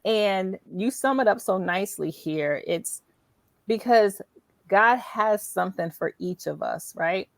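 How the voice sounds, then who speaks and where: A middle-aged woman hosting a conversational interview in an animated, encouraging and emphatic way. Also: medium pitch, a little slow, gravelly, no echo. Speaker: show host.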